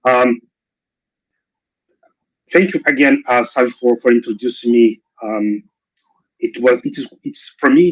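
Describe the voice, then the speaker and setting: A Brazilian man in his forties gives an online seminar in English with an accent mixing Brazilian, British and American sounds. He talks at a medium pitch and stops often to restart and fill gaps. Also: a little mellow, rough recording. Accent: mixed Brazilian, British and American English. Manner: hesitant, many restarts and fillers. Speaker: invited guest speaker. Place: video call.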